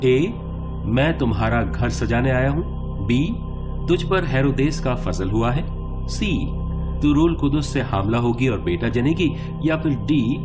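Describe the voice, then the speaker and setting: An actor playing a showy quiz show host in a radio play, teasing and polite, announcing theatrically. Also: male voice, medium pitch, expressive, unhurried, natural voice. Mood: upbeat. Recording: music under the voice. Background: music.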